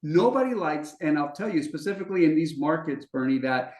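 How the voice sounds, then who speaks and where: A man's voice: fairly high pitch, animated, a little fast, a little mellow. A man co-hosting a podcast, talking fluently and confidently in long explanations. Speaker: podcast co-host, business development executive. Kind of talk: conversational interview. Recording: echoey room.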